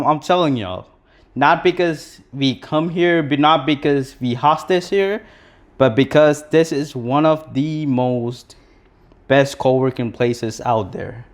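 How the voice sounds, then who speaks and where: A young man from Sri Lanka co-hosts a live podcast, talking loosely and circling back on his words. His voice sits at an ordinary pitch for a man, and he keeps the chat casual with plenty of fillers and restarts. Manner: rambling, many restarts and fillers. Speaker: podcast co-host.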